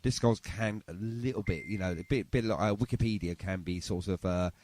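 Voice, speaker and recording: Chatty, low-voiced male show host. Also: a little fast, darkish tone, a little hiss or hum. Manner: effusive, chatty, often interjects. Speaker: podcast and radio show host. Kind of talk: casual, chatty interview.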